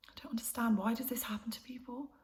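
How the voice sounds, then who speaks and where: A woman's voice: on the low side, a little fast, close to an everyday voice. A young woman acting an intimate, conversational monologue as a troubled granddaughter confiding in her mother. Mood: anguished, guilty. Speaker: actor performing a self-written monologue.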